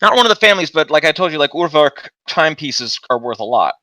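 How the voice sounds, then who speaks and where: A man's voice: a little high, sing-song, quick pace, bright, forceful tone, dry recording. A man playing a tabletop game over a voice call, talking casually with many fillers and restarts. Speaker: player. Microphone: headset over a voice call.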